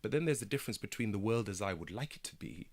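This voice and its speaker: A man's voice: fairly low pitch, lively intonation, fast talker. A man in his thirties, born in Kenya with Swahili as his first language, speaking earnestly and emphatically as a guest in a radio studio discussion. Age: 30s. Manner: earnest, emphatic, repeats words when stressing. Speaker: barrister and broadcaster guest.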